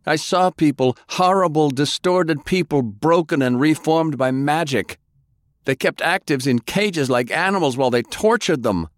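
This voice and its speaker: A man's voice: on the high side, moderate pace, dry recording, voice pushed up, close to an everyday voice. An actor playing a bitter, righteous young man with magic powers in an audiobook, intense and accusing. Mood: enraged.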